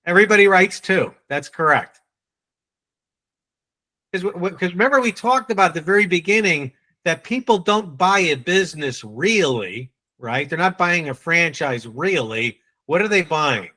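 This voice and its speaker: A man with a New England accent leading a live online sales training, quizzing and teasing his audience in a brisk way. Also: high-pitched, animated, bright, forceful tone.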